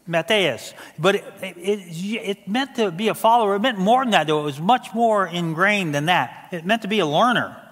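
A man in his sixties preaching as a pastor, conversational yet emphatic, with deliberate pauses. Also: high-pitched, a bit bright. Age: 60s. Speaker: executive pastor. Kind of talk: sermon. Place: stage of a large church auditorium. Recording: hall reverb from a large auditorium.

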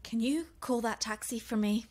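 Actress as a flustered, high-voiced indignant woman. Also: moderate pace, background noise, lightly acted. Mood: distressed, flustered. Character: flustered, indignant English woman, romance novelist, reluctant guest. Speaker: actor in an audio drama. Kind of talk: horror-comedy audio drama acting.

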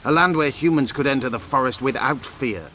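An actor playing a proud, bitter elven rebel commander in a fantasy game, grave and declamatory. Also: male voice, mid-range voice, fairly quick, pushed and bright, slight background noise, close to an everyday voice. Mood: proud, fervent. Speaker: video game character actor. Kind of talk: game dialogue acting.

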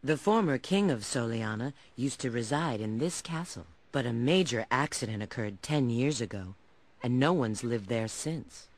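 A woman voicing a sly, flirtatious bat spy in a video game, with a low, sultry, teasing delivery. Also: lively intonation, moderate pace. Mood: explaining. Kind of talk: video game cutscene acting. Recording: music under the voice.

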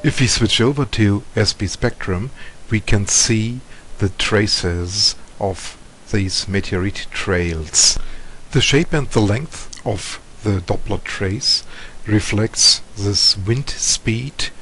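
Low, slow German-accented man explaining. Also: fairly bright tone, a little hiss or hum. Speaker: amateur radio presenter. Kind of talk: explanatory tutorial narration.

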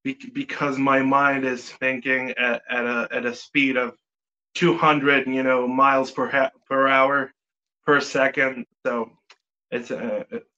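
Israeli man, native Hebrew speaker, slow and halting, bright tone, muffled lo-fi sound. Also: mid-range voice, little pitch movement. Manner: halting, many restarts and fillers. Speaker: guest. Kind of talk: casual interview chat.

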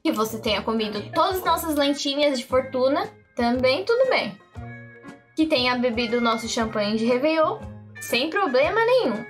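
A woman's voice: high-pitched, very animated, moderate pace, faint music behind. A woman playing a bossy, exasperated wife and mother in a Brazilian cartoon comedy sketch. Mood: indignant.

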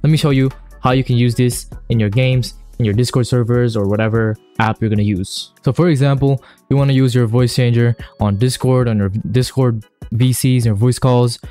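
A man's voice: mid-range voice, fairly even intonation, moderate pace, mellow. Casual slangy man over background music. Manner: slangy, enthusiastic recommending. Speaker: YouTuber host. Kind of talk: casual software tutorial narration. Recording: music under the voice.

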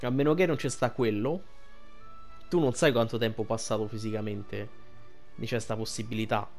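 A man's voice: on the low side, steady tone, normal speed, faint music behind. A man giving colloquial, rambling, casual gameplay commentary. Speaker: gaming commentator.

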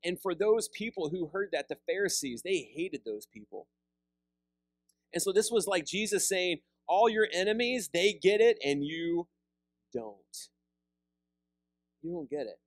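A pastor in his thirties preaching a sermon, seated with a handheld mic, animated and conversational, often repeating words as he restarts. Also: high-pitched, unhurried, long pauses, no room sound. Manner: animated preaching with big hand gestures, rising loud on emphasis. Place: church fellowship hall, seated on a stool.

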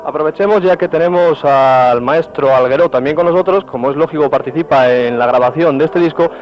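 A man's voice: on the high side, fairly quick. A young man from Spain working as a TV interviewer, formal and wordy, rephrasing his questions as he goes, on a handheld mic. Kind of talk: TV reporter questions and presenting. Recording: muffled 1970s TV film sound.

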